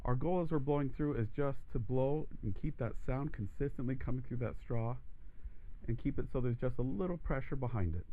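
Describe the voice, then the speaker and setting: A man teaching a voice lesson, explaining steps calmly and steadily, his voice croaky from allergies. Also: mid-range voice, brisk, narrow, tinny audio, slight background noise. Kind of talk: instructional demonstration.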